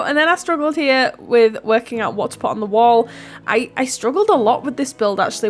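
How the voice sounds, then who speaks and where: A woman, stuffy from hay fever, chatting casually through a voiceover of her building game, giggling and self-deprecating. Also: fairly high pitch. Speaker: YouTuber narrating a Sims build.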